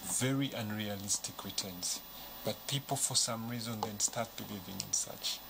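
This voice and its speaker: Man, gravelly, low, measured, noisy background. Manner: measured, explanatory. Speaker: central bank official. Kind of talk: studio interview.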